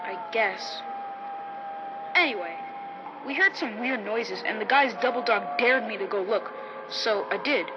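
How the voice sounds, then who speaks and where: High-voiced, earnest young boy scout. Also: expressive, a little slow, long pauses, music in the background. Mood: woozy, earnest. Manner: earnest, boyish, naive. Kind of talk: 1950s radio drama acting. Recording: muffled vintage radio sound, loud noise bed.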